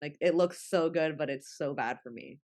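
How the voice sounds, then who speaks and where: A woman's voice: low-pitched, normal speed, darkish tone, no room sound. Young woman guest, Spanish first language, chatty with fillers, dry close-mic sound. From New York. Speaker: guest, travel content creator. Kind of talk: casual interview talk.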